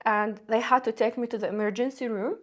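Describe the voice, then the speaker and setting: A woman in her thirties, from Syria, talking as a podcast guest in a hesitant way with many pauses and restarts. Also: a little high, fairly even intonation. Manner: soft-spoken, hesitant, with many ums and restarts. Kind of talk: casual interview talk.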